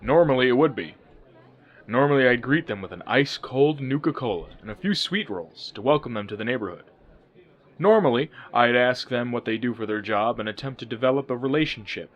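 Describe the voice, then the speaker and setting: Nervous working dad for radio comedy, male actor: downcast yet animated delivery, lo-fi sound. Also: mid-range voice, fairly quick, natural voice. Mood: glum, worried. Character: Rich Smith, glum, nervous suburban family man and worker. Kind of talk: radio sitcom acting.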